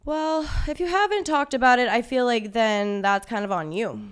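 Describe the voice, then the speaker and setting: Clear-voiced young woman, breezy and candid. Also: fairly high pitch, normal speed. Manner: casual, many fillers, laughs things off. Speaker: podcast guest. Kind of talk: candid conversational interview.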